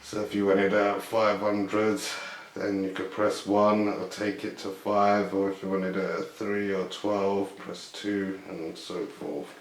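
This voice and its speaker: Low, monotone man in an echoey room. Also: measured pace. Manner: hesitant, tentative, often guessing aloud. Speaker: video host showing vintage items. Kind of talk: casual unscripted show-and-tell.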